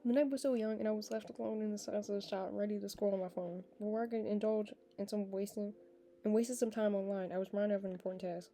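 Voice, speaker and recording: Mellow-voiced young woman reading a game. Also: medium pitch, steady tone, fast. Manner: chatty, laughing tangents. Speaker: gamer reading a visual novel aloud. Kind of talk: game playthrough with casual asides.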